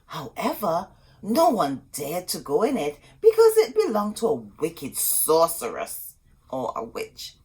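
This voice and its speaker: Slow, animated woman reading to children. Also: lowish voice, breathy edge. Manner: expressive, addressing young children. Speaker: children's storytime host and reader. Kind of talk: children's storybook read-aloud with asides.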